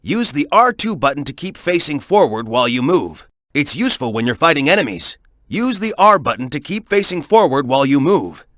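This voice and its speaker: A man's voice: fairly high pitch, very animated, fairly quick, fairly bright tone, dry recording, studio quality. A man voicing a tough, cocky young male echidna fighter in a video game, with a punchy, emphatic, coaching delivery. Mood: helpful, instructive. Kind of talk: video game character voice lines.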